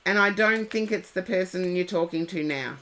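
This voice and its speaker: A woman with a head cold, giving tarot readings and gentle advice to viewers on a live stream. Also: on the low side, poor audio. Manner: slow, unhurried, gently advising viewers by name.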